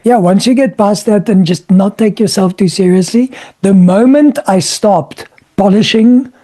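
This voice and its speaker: A man with a husky voice, talking frankly and casually as a guest in a conversation, swearing now and then. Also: high-pitched, unhurried, warm tone.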